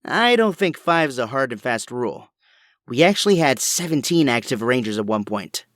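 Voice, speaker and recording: A man's voice: medium pitch, animated, normal speed, a bit bright, no echo, clean studio sound, close to an everyday voice. A man playing an earnest, brainy young inventor hero in an audio drama, with precise, technical, polite speech. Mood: focused, friendly.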